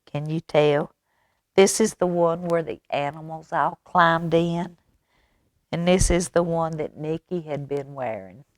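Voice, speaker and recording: Low-voiced woman reading slowly to children. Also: no room sound. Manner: expressive, deliberate, addresses children with questions. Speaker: library storytime reader. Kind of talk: children's picture-book read-aloud with asides to young listeners.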